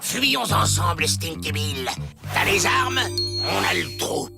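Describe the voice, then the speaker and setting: High, explosive cartoon outlaw boss. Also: male voice, lively intonation, takes it slowly, creaky, exaggerated voice. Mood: bossy, scheming. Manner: barking, explosive, impatient. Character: short-tempered, bossy male outlaw gang leader. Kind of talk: exaggerated cartoon dub acting. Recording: music behind the voice.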